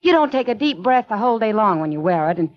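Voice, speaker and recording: A woman's voice: mid-range voice, big pitch swings, fast, natural voice. A woman playing an earnest, coaxing small-town assistant. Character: kindly, earnest young woman, newspaper assistant. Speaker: newspaper assistant. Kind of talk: 1940s radio acting. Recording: dull old radio broadcast.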